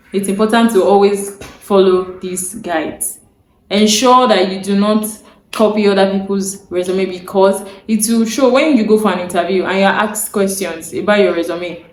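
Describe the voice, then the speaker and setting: A woman's voice: medium pitch, steady tone, moderate pace, slight background noise. Nigerian woman, young adult, career vlogger; earnest and repetitive, checks in with viewers; roomy echo, faint ambience behind. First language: Yoruba. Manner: earnest, repeats key points, checks in with viewers. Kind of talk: instructional talk to camera.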